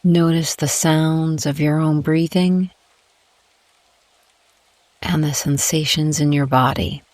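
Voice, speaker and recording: A woman narrates a guided meditation at a slow, restful pace. Her delivery is gentle and calm, with pauses between phrases. The sound is dry and intimate, with little room around the voice. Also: low voice, dry recording.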